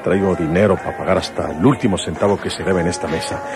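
A man's voice: low voice, quick pace, music in the background, close to an everyday voice. An actor playing a grim, determined Mexican village lawman and devoted father in a radio drama. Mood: desperate pleading.

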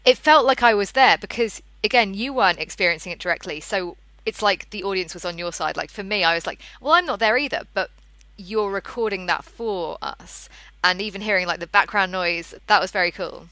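An enthusiastic woman hosts a relaxed podcast chat. Her voice is bright and sits at an average pitch, and the sound is dry with no room echo. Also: a little fast. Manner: enthusiastic, appreciative. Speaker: podcast host. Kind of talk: casual interview conversation.

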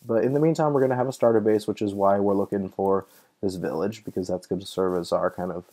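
A young man with a deep, mellow voice narrates his gameplay in a loose, chatty way. He gets excited easily and thinks aloud with plenty of fillers. He's a little sick, so his voice sounds slightly off. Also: quick pace. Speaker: gaming channel host. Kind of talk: casual let's-play commentary.

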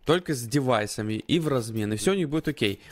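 A man's voice: mid-range voice, expressive, fast talker. A man commentating and analysing a live esports match in conversational gamer slang. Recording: gunfire and game sounds behind. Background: gunfire, clicks from the game.

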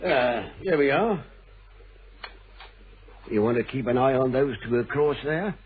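An actor playing a brisk, nosy male café keeper with a British accent in a radio play, chirpy and colloquial. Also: medium pitch, lively intonation, slight background noise, natural voice. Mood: confiding. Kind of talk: British radio drama acting. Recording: muffled band-limited old radio broadcast.

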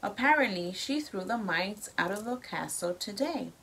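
A woman's voice: medium pitch, animated, normal speed, a bit bright, slight background noise, rough recording. A woman voicing a cheerful, polite young prince from a picture book in a friendly tone. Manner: friendly, upbeat storybook voice.

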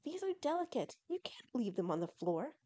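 A devoted young male fox from a storybook, acted by a woman narrator. He sounds earnest and a little fussy, in a high, mellow voice that keeps questioning. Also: very animated, moderate pace. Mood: fussy alarm.

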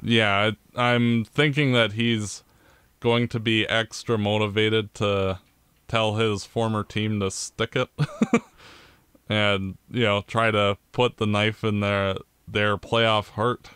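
A man co-hosting a casual sports podcast, talking in a gloomy, measured way. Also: fairly low pitch, little pitch movement, a bit bright, smooth, no room sound. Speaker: podcast co-host.

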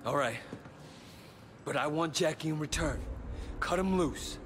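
An actor playing a tough undercover cop who is blunt and swears freely. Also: male voice, fairly high pitch, measured pace, noisy background, natural voice. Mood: subdued. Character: tough, hot-tempered male undercover cop. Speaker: undercover police officer. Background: game score music.

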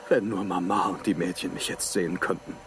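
An actor playing a weary, driven polar explorer in a radio play, in a hushed inner monologue. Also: male voice, low-pitched, fairly even intonation, brisk, background noise, lightly acted. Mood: wistful.

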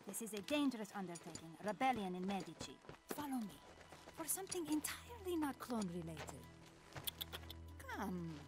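A woman's voice: mid-range voice, sing-song, moderate pace, natural voice. A woman playing a brainy, awkward middle-aged scientist in a video game, speaking in clipped, formal phrases that drop small words. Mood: businesslike. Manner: clipped, formal, article-dropping speech. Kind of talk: video game cutscene acting. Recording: loud music underneath.

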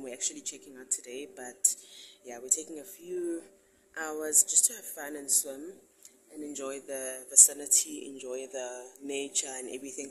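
Low-voiced woman vlogging from a guest house. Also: echoey room, distant mic, noisy background. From South Africa. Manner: chatty, upbeat, addresses viewers directly. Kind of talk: casual vlog talk to camera. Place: bedroom of a guest house, indoors.

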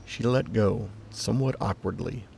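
A man's voice: fairly low pitch, normal speed, creaky, darkish tone, a little hiss or hum. A man narrating a scripted story as the narrator of a dramatised piece of fiction.

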